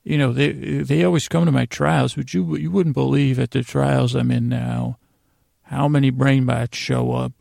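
A man hosting a podcast in a rambling, lighthearted bedtime monologue, halting and drifting into absurd tangents, with a gravelly, creaky voice. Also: mid-range voice, fairly even intonation, darkish tone, no echo, clean studio sound. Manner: meandering, halting, with trailing tangents. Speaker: podcast host. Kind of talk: rambling bedtime monologue, meant to lull listeners to sleep.

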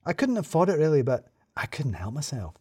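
Man putting on an excitable, high-pitched gushing woman. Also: a little fast, husky, dry recording, studio quality, exaggerated voice. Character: excitable woman office colleague, gushing fan.

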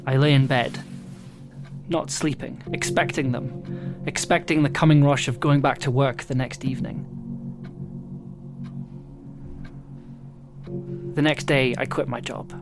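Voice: mid-range voice, steady tone, normal speed, lightly acted. A performer playing an anxious bar worker in an intimate audio drama monologue. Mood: subdued, weary. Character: anxious young bar worker narrating sleepless nights. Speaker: writer and performer. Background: music.

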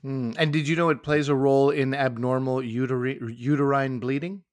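A man's voice: medium pitch, steady tone, brisk, no room sound, studio quality. Playful, teasing male co-host. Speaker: podcast co-host. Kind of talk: casual chat.

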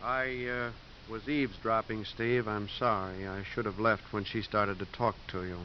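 A man's voice: on the low side, steady tone, normal speed, natural voice. Kindly, earnest priest played by a man. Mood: concerned. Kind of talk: 1950s radio acting. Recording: hissy, dull 1950s radio recording.

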